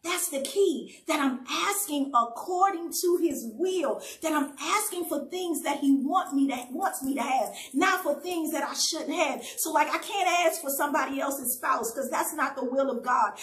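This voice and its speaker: Fervent woman preacher, very high voice, emphatic repeated refrains, echoey room. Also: normal speed, few pauses, fairly bright tone. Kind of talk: prayer and sermon. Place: indoor studio set.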